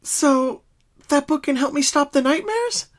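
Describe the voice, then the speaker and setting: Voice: high-pitched, lively intonation, unhurried, pitched above the performer's own voice. A performer voicing a frightened, exhausted young female pony with a quivering, pleading voice in a cartoon fan reading. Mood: hopeful. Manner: whiny, dramatic, pleading.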